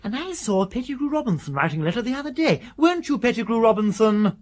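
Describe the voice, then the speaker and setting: A woman's voice: fairly high pitch, sing-song, quick pace, darkish tone. A woman playing a languid, flippant idler in a British radio drama, offhand and droll. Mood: droll, idle. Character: languid, flippant upper-class idler, lazily amused.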